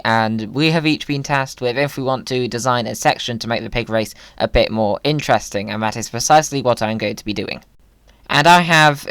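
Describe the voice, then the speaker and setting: A man narrates his gameplay with silly, whimsical humour. His voice is smooth and clean, recorded close and dry. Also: mid-range voice, fairly bright tone, no echo. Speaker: video creator, gamer. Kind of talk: playful gaming commentary.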